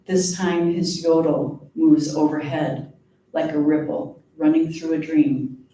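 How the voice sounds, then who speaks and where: Echoey, distant woman reading poetry slowly. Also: deep voice, steady tone, mellow. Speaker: poet reading own work. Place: live reading event before an audience. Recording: very echoey room, distant mic, faint noise.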